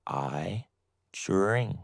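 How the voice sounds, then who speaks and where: A man reading a slow, scripted beginner English lesson, saying each short sentence twice with deliberate pauses. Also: deep voice, expressive, vocal fry, dry recording, clean studio sound. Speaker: English teacher.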